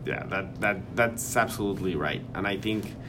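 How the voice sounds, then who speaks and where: A man's voice: lowish voice, normal speed. A man in a casual academic conversation, speaking hesitantly, repeating words and using many fillers. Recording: noisy background hiss.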